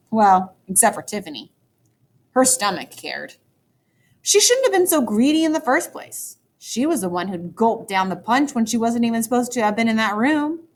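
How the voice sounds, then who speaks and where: A woman teacher reading a children's story aloud, with expressive, dramatic emphasis for young listeners. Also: fairly high pitch. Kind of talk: animated children's book read-aloud.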